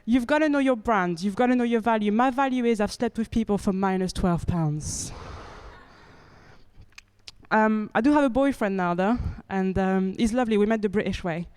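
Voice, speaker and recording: A woman's voice: mid-range voice, normal speed, a little mellow, clear, resonant tone, no echo. A young woman from France performing stand-up comedy with a wry, chatty delivery that keeps checking in with the audience. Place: comedy club stage.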